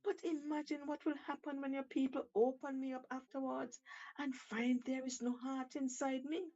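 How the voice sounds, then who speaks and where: A woman's voice: high-pitched, level tone, moderate pace, mellow, muffled recording, voice pushed up. A woman playing a crafty, cheeky male monkey in a told story, with an emphatic, theatrical and taunting delivery. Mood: feigned regret.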